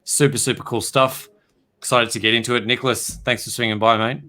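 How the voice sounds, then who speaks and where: A man's voice: mid-range voice, flat delivery, a little fast, bright, forceful tone. A man hosting a podcast interview, upbeat and enthusiastic in a casual way. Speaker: podcast host.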